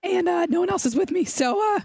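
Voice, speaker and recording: A hoarse woman with a very high voice chats on live radio. She has lost her voice and keeps apologizing and laughing it off. The sound is dry and close, with no room around it. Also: lively intonation, fairly quick, a little mellow, no room sound. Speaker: radio producer. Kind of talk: casual radio chat. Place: remote broadcast at a hardware store.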